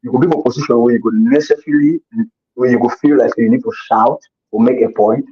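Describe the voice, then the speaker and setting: Husky-voiced man, earnest. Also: mid-range voice, fast. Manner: earnest, repeats himself while searching for words. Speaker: interview guest. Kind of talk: casual conversational interview. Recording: dull lo-fi recording.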